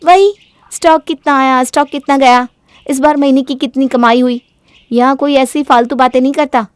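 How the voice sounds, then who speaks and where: A self-centred young married woman, acted out by a woman storyteller, speaks in a fairly high, dry-recorded voice. She is bossy, argumentative and sharp-tongued, as a character in a family morality tale. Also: fairly even intonation, normal speed, smooth, no room sound, close to an everyday voice. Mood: irritated, defiant.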